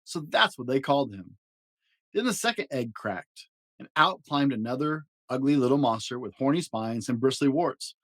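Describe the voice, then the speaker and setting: A man reads a picture book aloud in an expressive storytelling style. His pitch moves around freely for drama, and the sound is dry, with little room in it. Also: moderate pace, no echo. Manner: animated, dramatic delivery. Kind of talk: expressive children's story narration.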